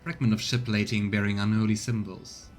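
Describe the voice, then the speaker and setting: A man's voice: on the low side, little pitch movement, fairly quick. A man doing casual let's play commentary, chatting as he thinks aloud and reads game text. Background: faint game music.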